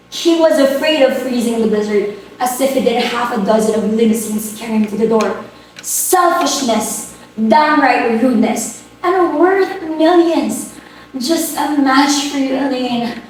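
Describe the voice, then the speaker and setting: A woman acting an imperious, fretful society mother in a dramatic stage reading, scolding and exclaiming. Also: high voice. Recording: very echoey room, distant mic, faint noise.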